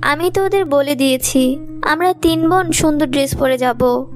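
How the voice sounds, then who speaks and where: Very high girlish voice, dutiful poor daughter, proud, earnest, polite, animated story, acted by a woman. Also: moderate pace. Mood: downcast. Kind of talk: cartoon voice acting.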